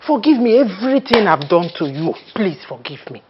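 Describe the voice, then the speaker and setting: A woman playing an older mother-in-law who softly pleads with her son's wife. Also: mid-range voice, big pitch swings, fairly quick, muffled recording, background noise, natural voice. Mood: pleading. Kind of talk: drama acting.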